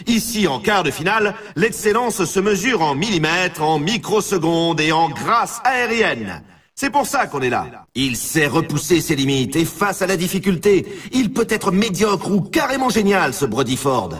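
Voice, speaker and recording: A man playing an excitable, grandiose snowboard championship announcer in a video game, delivering scripted, theatrical sports commentary. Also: high-pitched, moderate pace, slightly rough, distant mic, heavily acted. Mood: hyping, grandiose, then dramatic introductions. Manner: theatrical, grandiose, hyping the event.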